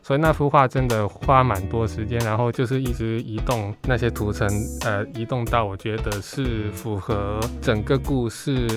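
A man's voice: medium pitch, fairly even intonation, normal speed, few pauses, over music. A man from Hong Kong speaking as an interview guest, casually explaining his work with some hesitation. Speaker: guest comic artist.

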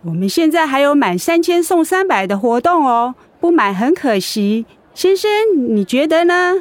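An actress playing an eager, flattering young saleswoman with sugary patter in a radio drama. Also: sits high, moderate pace, no echo.

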